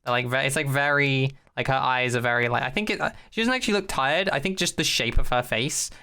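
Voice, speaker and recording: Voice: medium pitch, quick pace, pushed and bright, clean tone. A podcast host, chatting in a rambling, jokey, sweary recap and mockingly acting out quotes. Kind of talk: casual rambling recap chat.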